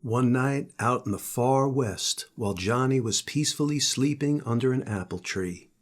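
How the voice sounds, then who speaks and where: An older man, a grandfather, reading a children's storybook aloud in a husky voice. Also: medium pitch, normal speed.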